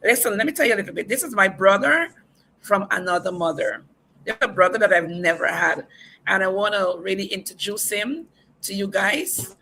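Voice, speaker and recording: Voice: medium pitch, normal speed, vocal fry, sharp tone, slight background noise, poor audio. The host of a livestream talk show, upbeat, introducing guests and repeatedly asking viewers to share the stream.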